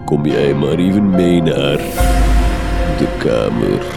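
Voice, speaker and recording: A man's voice: very deep voice, lively intonation, slow pace, gravelly, full character voice. A man playing a cruel, domineering father and sinister doctor in a horror comedy radio play, menacing and florid in his speech. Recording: loud music behind.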